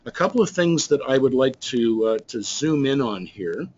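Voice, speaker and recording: A man's voice: medium pitch, expressive, moderate pace, darkish tone, muffled recording. A man working as a business trainer, talking through slides in a loose, rambling way with many restarts and fillers. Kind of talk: explaining slides in a recorded webinar.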